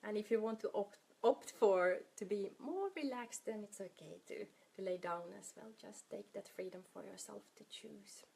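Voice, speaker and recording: A woman leading a guided meditation in a soft, soothing, unhurried manner, her breathy voice often trailing off toward a whisper. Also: medium pitch, slight background noise.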